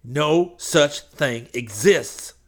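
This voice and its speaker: A man's voice: mid-range voice, a little slow, natural voice. A man voicing a stubborn, irritable country man in an audiobook, speaking curtly and emphatically. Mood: stubborn, irritated. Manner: blunt, ungrammatical, emphatic.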